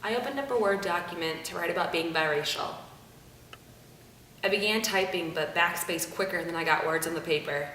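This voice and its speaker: A young adult woman performing a rehearsed spoken-word monologue with emphatic, theatrical delivery and deliberate pauses. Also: fairly bright tone. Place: room without an audience. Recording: echoey room, distant mic, rumbling noise.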